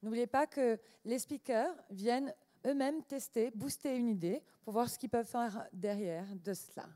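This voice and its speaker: A woman co-hosting a conference session, briskly managing the audience between talks. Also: on the high side, mellow. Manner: brisk, friendly crowd management. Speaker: event co-host. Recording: voice in a long-reverb hall.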